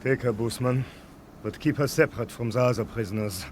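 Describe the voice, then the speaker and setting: An actor playing a menacing, taunting German wartime officer who gives orders and interrogates, in a radio drama. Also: male voice, mid-range voice, fairly quick, slight background noise, close to an everyday voice. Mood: cold, taunting. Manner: taunting, commanding.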